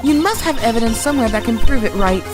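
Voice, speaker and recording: Woman as a high-voiced, firm mentor lawyer. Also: lively intonation, quick pace, music in the background, close to an everyday voice. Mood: coaching. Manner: firm, encouraging. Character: confident female senior lawyer mentoring her protege. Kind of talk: video game fandub acting.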